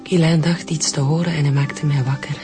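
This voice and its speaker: A shaken, grieving Flemish widow. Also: female voice, low voice, steady tone, fairly quick. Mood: numb, shaken. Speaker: radio drama actor. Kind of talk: radio drama acting. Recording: music behind the voice.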